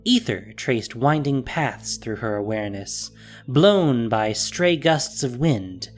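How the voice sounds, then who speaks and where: A narrator reading a scripted fantasy audio drama in an expressive, deliberate storytelling manner. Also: medium pitch, soft background music.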